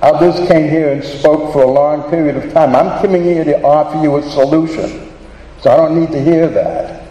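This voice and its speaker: A man's voice: a little high, mellow. A man with a Boston accent giving earnest public testimony at a hearing, hurrying with many fillers and restarts. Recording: echoey hall with long reverb.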